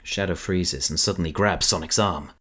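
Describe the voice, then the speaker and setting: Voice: deep voice, normal speed, a bit bright, breathy edge. A narrator reading scripted present-tense story narration for an audio drama.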